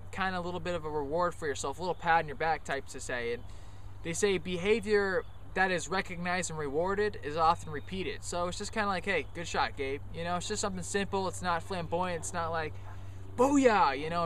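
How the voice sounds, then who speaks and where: High-voiced young man coaching golf outdoors. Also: a little fast, fairly bright tone, slight background noise. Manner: loose, joking, chatty with many fillers. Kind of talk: casual golf lesson on the course.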